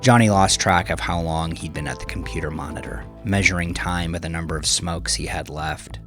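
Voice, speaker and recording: A man with a deep, low voice narrates crime fiction from a script. Music plays behind his reading. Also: fairly quick, a bit bright. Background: music under the voice.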